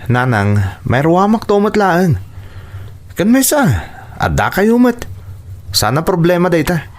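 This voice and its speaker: A man's voice: sits high, sing-song, measured pace. A man acting in an Ilocano radio drama as a stubborn adult son pining for the woman who left, with an Ilocano accent and music underneath. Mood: defensive.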